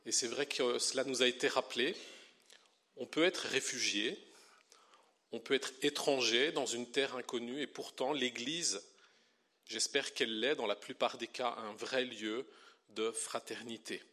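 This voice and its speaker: A middle-aged man from Belgium, a pastor and theology teacher, preaching a sermon with lively delivery that slows down to stress key words. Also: medium pitch.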